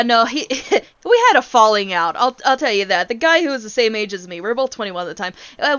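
A woman's voice: medium pitch, fairly bright tone, clear, resonant tone, dry recording, highs cut off. A woman in her twenties ranting casually on a gaming stream, blunt, exasperated and sweary as she tells stories. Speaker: streamer, VTuber host.

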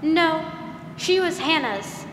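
A woman acts a mysterious little ghost girl in old radio drama style. She speaks slowly and quaintly in a high voice. The sound is echoey, from a theater stage. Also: lively intonation, fairly bright tone, full character voice. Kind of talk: 1940s radio drama acting. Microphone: stand microphone, masked performer. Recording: echoey theater hall, faint noise.

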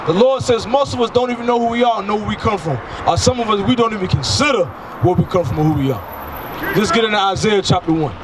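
High-voiced man preaching on a street. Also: lively intonation, quick pace. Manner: emphatic, asks rhetorical questions. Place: outdoors by a street. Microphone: handheld microphone. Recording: outdoor traffic noise. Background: passing cars.

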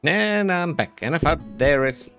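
A man narrates a video game playthrough in a casual, playful way, musing to himself. The delivery is slow and unhurried but swings widely in pitch, over quiet background music. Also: very animated. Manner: playful, self-talking, drawn-out musing.

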